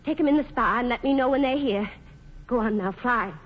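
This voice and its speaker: A woman's voice: a little high, brisk, natural voice. An actress playing a shrewd, plucky small-town mother in a radio play, speaking in a folksy, firm way. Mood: urgent, resolved. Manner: folksy, plain-spoken, firm. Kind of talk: 1940s radio play acting. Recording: muffled, noisy 1940s radio recording.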